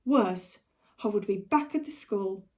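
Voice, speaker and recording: A South African woman reading a storybook aloud for children like a schoolteacher, with deliberate, expressive delivery. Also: mid-range voice. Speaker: primary school teacher.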